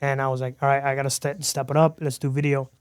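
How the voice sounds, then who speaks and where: A man's voice: medium pitch, quick pace, clean tone, no room sound, studio quality. A man from Miami, a podcast guest, telling his story in a casual interview and acting out quoted dialogue as he goes. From Miami, Florida. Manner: animated storyteller who acts out quoted dialogue.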